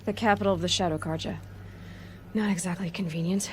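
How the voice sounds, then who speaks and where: A woman's voice: fairly low pitch, fairly even intonation, brisk, a little hiss or hum, natural voice. Blunt young adventurer woman over noticeable ambience acted. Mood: dry, sardonic. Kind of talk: video game voice acting, conversational dialogue.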